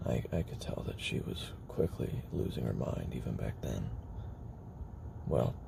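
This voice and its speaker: Guy acting as a worn out haunted ex assassin, super low croaky voice, halting brooding confession in an audio drama, noisy recording. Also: animated, heavily acted. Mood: brooding, wistful. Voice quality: very deep gravelly voice, often sinking into whisper. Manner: halting, brooding, with trailing pauses. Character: haunted, weary male former child assassin recording tape memoir. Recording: noisy homemade recording with background ambience.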